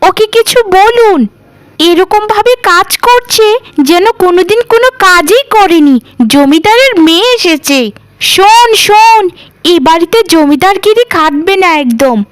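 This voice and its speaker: A woman's voice: very high voice, fairly bright tone, dry recording, heavily acted. A woman voicing a spiteful, bossy elder sister-in-law in a family cartoon, scolding and sneering. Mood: scornful. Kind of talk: Bengali family cartoon dialogue.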